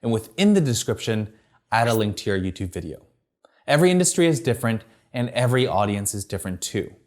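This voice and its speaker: A man presenting a scripted video marketing tutorial from a teleprompter in a clear, structured, upbeat way. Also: fairly low pitch, sing-song, quick pace, a little mellow.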